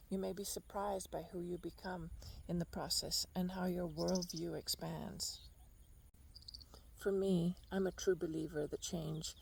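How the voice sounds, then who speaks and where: A woman born in Argentina, reflecting in a thoughtful, unhurried personal monologue while sitting outdoors on a bench. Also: lowish voice, creaky, slightly rough. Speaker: podcast host.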